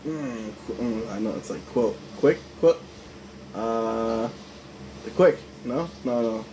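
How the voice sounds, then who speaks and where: A man's voice: mid-range voice, slow, warm tone. A young man in casual vlog chat, answering quiz questions across a table. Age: young adult. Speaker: channel host and quiz contestant. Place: home dining room, indoors. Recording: noisy room, camera across table.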